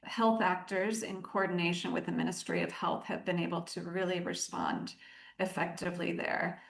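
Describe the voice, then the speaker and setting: A woman giving measured, articulate explanations as an aid director interviewed on the radio. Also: lowish voice, flat delivery, gravelly. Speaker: aid organisation country director, interview guest. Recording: roomy, echoing sound.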